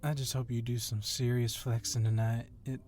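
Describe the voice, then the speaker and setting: A man's voice: mid-range voice, little pitch movement, normal speed, darkish tone, natural voice. A man playing an agile, confident police officer with a casual, flirtatiously admiring manner in an ASMR roleplay. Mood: teasing. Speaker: voice actor in audio roleplay.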